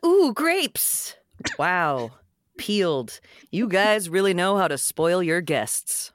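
Woman reading a wisecracking, animated young man. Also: fairly low pitch, slow pace, no echo, clean studio sound. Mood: upbeat, joking. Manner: sarcastic, self-deprecating. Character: wisecracking awkward young man, loyal to friends. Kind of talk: comedic script table read.

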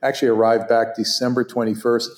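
An older man whose first language is Hebrew, recalling his past in an animated, rambling way, full of restarts and quick laughs. His voice sits at a middle pitch. The room around him rings with a clear echo. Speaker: interview guest, orthopedic surgeon. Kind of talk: conversational storytelling.

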